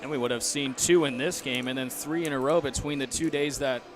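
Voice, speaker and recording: A man's voice: medium pitch, fairly even intonation, fairly quick. A young American man doing live play-by-play baseball commentary on a headset mic from a ballpark. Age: young adult.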